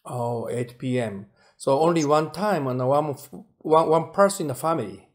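Male interviewer, native Japanese speaker with Japanese accent, somewhat high-pitched, casual and searching for words. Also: normal speed.

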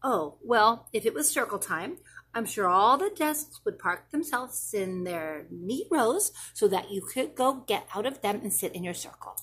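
A woman's voice: mid-range voice, expressive, unhurried, a bit bright, slightly rough. A woman voicing a patient, playful mother who reassures her child with thoughtful answers in a children's storybook. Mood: playful, reassuring. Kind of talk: expressive children's storybook reading.

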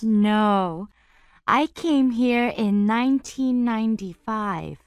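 A woman voicing a friendly young woman in a scripted dialogue for language learners, speaking slowly and carefully. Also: on the high side, clear, resonant tone, no room sound, clean studio sound. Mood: calm. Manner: slow, carefully spoken.

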